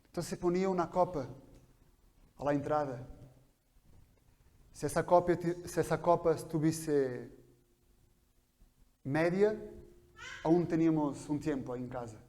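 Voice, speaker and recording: A man preaching a sermon in a church, his coming through his Spanish, swinging between quiet explanation and loud, fervent passages. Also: fairly high pitch, unhurried, long pauses. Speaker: pastor. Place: church hall.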